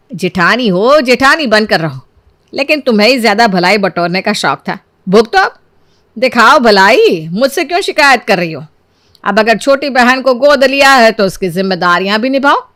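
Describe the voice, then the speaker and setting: A woman's voice: mid-range voice, lively intonation, moderate pace, fairly bright tone, no echo, studio quality, close to an everyday voice. A woman voicing a sly, scolding elderly mother-in-law in a cartoon story, with sarcastic, theatrical needling.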